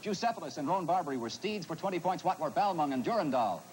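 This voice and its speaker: A man's voice: on the high side, lively intonation, quick pace. A man moderating an old broadcast quiz, reading questions and giving rulings. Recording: very noisy archival broadcast.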